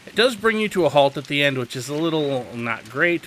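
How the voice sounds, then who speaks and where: Lively, bright-voiced man guiding gamers. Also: a little high, fairly quick, slight background noise. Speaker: video game guide host. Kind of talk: casual game tutorial commentary.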